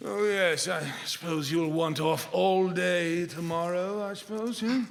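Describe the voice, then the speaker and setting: Miserly, irritable old man acted by a man, high-pitched, slow and scornful, echoing live radio drama. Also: vocal fry. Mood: grumbling, stern. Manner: scornful, barking, grumbling. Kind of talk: live radio theater acting. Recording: echoey theater hall, live performance.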